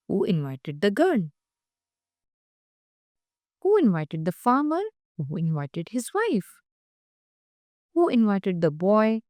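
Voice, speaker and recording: A woman's voice: mid-range voice, darkish tone, no echo, studio quality. A woman reading a children's picture book aloud as scripted story narration.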